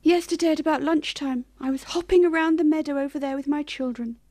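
A woman's voice: high voice, fairly even intonation, quick pace, dry recording, voice pushed up, lightly acted. A woman voicing a kind, earnest grown male forest animal who is a father. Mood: grave. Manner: gentle, helpful, earnest. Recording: soft music playing behind.

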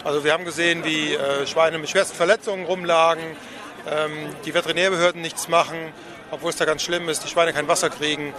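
A man giving an earnest, measured explanation as an activist in an outdoor interview. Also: fairly high pitch, fairly even intonation. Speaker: animal rights activist being interviewed. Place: outdoors at a demonstration stand. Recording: outdoor street recording with crowd noise.

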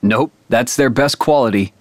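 A man playing a calm, serious young warrior in a video game, speaking in a measured, explanatory way. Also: mid-range voice, no echo, clean studio sound, natural voice. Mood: calm, matter-of-fact. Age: young adult. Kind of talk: animated video game dialogue. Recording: music under the voice.

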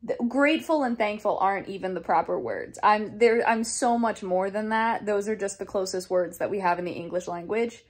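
A young woman chats into a phone held close in her living room, her voice at an ordinary middle pitch. She rambles earnestly, circling back and restarting her sentences, in a plain vlog style. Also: moderate pace, few pauses. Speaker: daily vlogger. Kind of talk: casual chat to camera. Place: living room at home, indoors. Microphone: phone camera held close.

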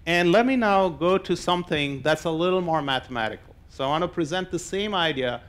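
Older man lecturing, slightly high-pitched voice. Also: lively intonation, a little fast, a bit bright. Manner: conversational, explaining step by step. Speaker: mathematician and novelist giving a public lecture. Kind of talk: informal explanatory lecture to an audience. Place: indoor lecture hall, on stage.

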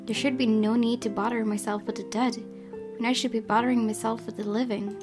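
A woman's voice: on the high side, little pitch movement, brisk. A woman reading a visual novel's narration aloud as a let's play host. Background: guitar music from the game.

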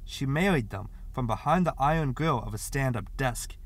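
A man's voice: mid-range voice, expressive, moderate pace. A man narrating a short story as a scripted audiobook reading.

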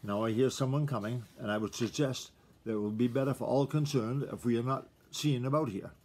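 A man's voice: medium pitch, fairly quick, darkish tone, natural voice. A man reading a sly, polite coyote. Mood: mock-polite. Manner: pleasant, mocking politeness. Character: sly grinning male coyote, mischievous and polite.

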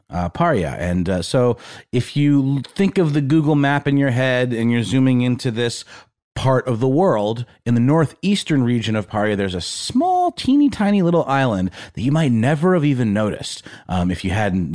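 Low-voiced man, halting with lots of ums. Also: darkish tone. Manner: halting, filler-heavy, playful asides. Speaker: podcast co-host. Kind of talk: casual conversational podcast talk.

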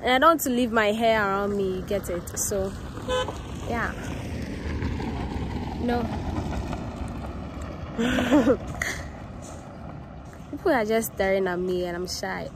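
A woman's voice: medium pitch, slow, pauses often, heavy background noise. A young woman, chatting casually to viewers while filming herself on her phone. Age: young adult. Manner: relaxed, chatty, talks to viewers directly. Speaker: vlogger. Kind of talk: casual vlog talk to camera. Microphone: phone camera at arm's length.

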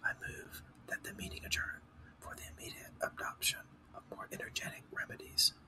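A man voicing a solemn, long-winded, touchy dodo in a storybook, in a ponderous breathy whisper. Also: moderate pace, sharp tone, far from the mic, poor audio. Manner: pompous, ponderous.

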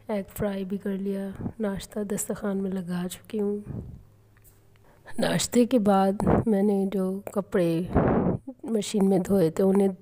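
Lady vlogger, calm homely voice-over, kinda monotone, mellow. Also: medium pitch. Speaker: home cooking vlogger. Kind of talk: voice-over narration of a daily routine vlog. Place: home kitchen and rooms.

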